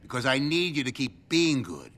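A middle-aged American government handler in a crime game. His voice is forceful and bright, swinging widely in pitch as he delivers clipped, sardonic orders with smug cynicism. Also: sing-song, moderate pace. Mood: irritated, commanding. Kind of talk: video game cutscene acting.

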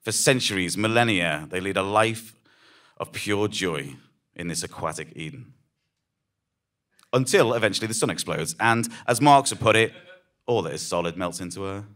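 A man with a fairly low voice narrates a story on a small theatre stage. He delivers scripted, dramatic prose in a measured, deadpan way.

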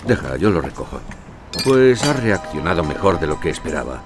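A weary police commander in an audio drama. His voice is extremely low and his delivery gruff but natural, with music clearly behind him. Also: sing-song, moderate pace. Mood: weary, domestic.

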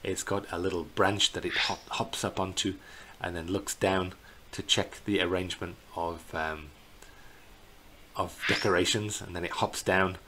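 Low-voiced man, halting, noisy recording. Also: fairly even intonation, sharp tone. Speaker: bird tour guide presenting a virtual tour. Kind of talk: unscripted slideshow narration. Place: indoors in a small plain room. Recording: clear background noise.

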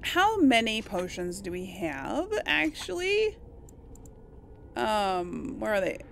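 A woman giving casual, expressive gameplay commentary, reacting aloud and talking to the game's characters. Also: mid-range voice, slow, vocal fry, slight background noise. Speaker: gamer narrating a role-play let's play. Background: game music behind the voice.

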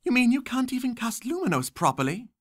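A man voicing a bookish, bossy young schoolgirl witch with a prim, brisk, lecturing manner. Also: very high-pitched, wildly swooping pitch, dry recording, studio quality, voice pushed up, heavily acted. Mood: indignant.

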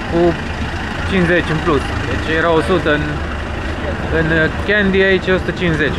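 A man from Romania vlogging casually, tired and complaining, filmed on an action camera on a busy street. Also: on the high side. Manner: talks while walking, complaining and tired. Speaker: travel vlogger. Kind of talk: casual vlog commentary. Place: busy street outdoors, then tuk-tuk and guesthouse. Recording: street noise behind.

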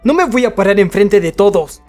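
A man's voice: sits high, expressive, fairly quick, a little mellow, faint music behind. A man playing a timid, embarrassed teenage boy in an anime fandub, hesitant and stammering, with a Latin American accent. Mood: nervous. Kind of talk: anime comic fandub acting.

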